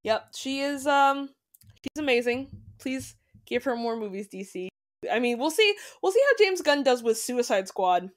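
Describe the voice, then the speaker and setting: A woman's voice: sits high, animated, normal speed. A woman co-hosting a podcast, chatting casually with lots of asides and fillers. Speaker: podcast co-host. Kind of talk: casual chat.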